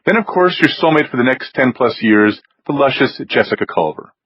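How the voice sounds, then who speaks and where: A man's voice: medium pitch, lively intonation, brisk, slightly rough, dry recording, muffled recording. A man voicing a haughty, pampered upper-class snob with precise, drawling diction, as an audiobook character. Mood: snooty, needling.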